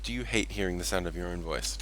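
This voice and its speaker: A man's voice: sits low, quick pace. A man with a non-American accent, chatting playfully with friends and calling out commands while playing a game. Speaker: streamer. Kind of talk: casual chat while gaming. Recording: noisy stream audio.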